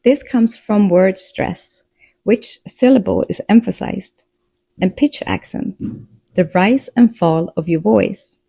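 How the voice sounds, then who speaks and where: Slow, gravelly woman narrating a lesson. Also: medium pitch, animated, mellow, no room sound.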